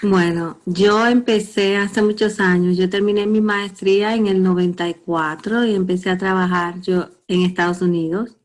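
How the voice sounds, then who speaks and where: A middle-aged woman from the Dominican Republic with a Dominican Spanish accent, a psychotherapist who is a guest on a radio interview, speaking calmly and steadily with some small hesitations. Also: medium pitch.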